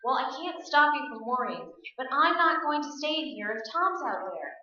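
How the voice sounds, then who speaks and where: A woman's voice: sits high, very animated, normal speed, bright, forceful tone, exaggerated voice. A woman playing a devoted, stubbornly brave young farm woman in a staged radio play. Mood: determined. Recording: echoey stage, distant mic, dull sound.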